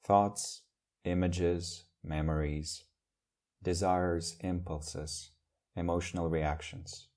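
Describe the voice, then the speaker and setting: A man leading a guided meditation as a teacher, speaking in gentle, measured phrases with long pauses. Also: low voice, flat delivery.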